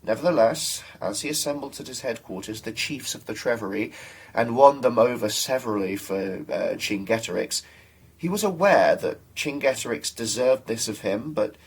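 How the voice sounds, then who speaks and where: A young man reads a formal historical account aloud. His voice is husky and mid-pitched. The phrasing is measured and deliberate, as in a staged reading. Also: poor audio. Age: young adult. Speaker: student reader. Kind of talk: dramatic reading of a translated ancient history.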